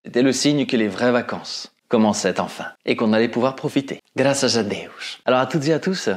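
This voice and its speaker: A man's voice: medium pitch, fairly quick. A man in his forties, raised in France by Portuguese parents, telling comic stories to camera on a lapel mic. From France, Portuguese family. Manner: lively, switches between French and Portuguese. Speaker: comedian. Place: small indoor studio set, brick wall.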